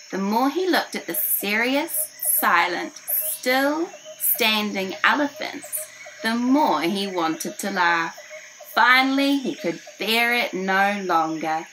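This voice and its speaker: Bright, animated young woman reading a picture book. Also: fairly high pitch, a little hiss or hum, poor audio. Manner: animated, careful enunciation for young children. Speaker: children's story reader. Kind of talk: expressive picture-book read-aloud. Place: small furnished room indoors.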